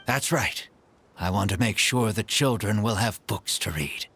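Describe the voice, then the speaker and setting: A gravelly, low village man. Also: measured pace, a bit bright, soft background music. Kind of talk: game voice acting.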